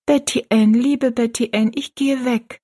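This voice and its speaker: A woman voicing a timid, earnest little orphan girl in an audiobook story, with a soft, breathy voice. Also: a little high, steady tone, a little slow, pitched above the performer's own voice. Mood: secretive, earnest. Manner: fearful, earnest.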